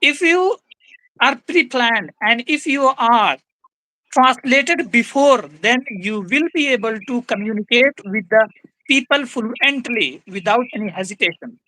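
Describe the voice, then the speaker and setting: Bright, firm woman. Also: a little high, lively intonation, measured pace, low-quality recording. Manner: firm, repeats key points, instructive. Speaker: English-speaking guest. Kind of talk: explaining tips in conversation.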